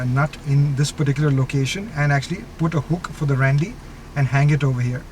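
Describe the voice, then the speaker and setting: A man with a slightly high voice gives a casual product demo from inside a car. He explains each step in an informal way, with clear vehicle noise behind him. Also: darkish tone. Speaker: product demonstrator. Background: vehicle ambience.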